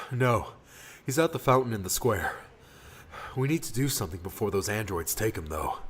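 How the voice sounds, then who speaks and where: A man's voice: fairly low pitch, animated, normal speed, fairly bright tone, husky. A man voicing a wry, cocky young male adventurer with a casual, teasing manner in audiobook dialogue. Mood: urgent.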